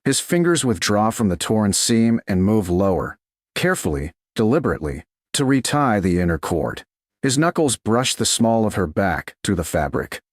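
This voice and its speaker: A man narrating a scripted romance story in a slow, dramatic storytelling style. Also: fairly low pitch, sing-song, no room sound, studio quality. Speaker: audiobook narrator.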